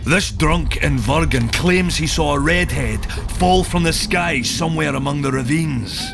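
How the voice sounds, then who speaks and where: An actor playing a seasoned dwarf scout in a video game, telling things in a dry, knowing, measured way. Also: male voice, on the high side, creaky. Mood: informative, knowing. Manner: wry, measured storytelling. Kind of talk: video game cutscene acting. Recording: music behind the voice.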